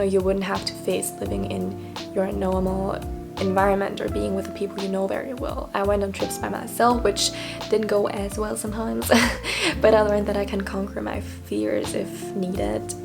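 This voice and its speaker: A woman's voice: mid-range voice, vocal fry. A young woman talking candidly in a casual vlog, reflective and self-deprecating, often laughing at herself. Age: young adult. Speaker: vlogger.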